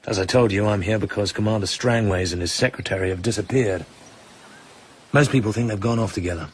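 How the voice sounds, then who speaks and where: An actor playing a cool, suave British secret agent in a radio drama, understated and brooding. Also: male voice, lowish voice, brisk, breathy edge. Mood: cool, serious.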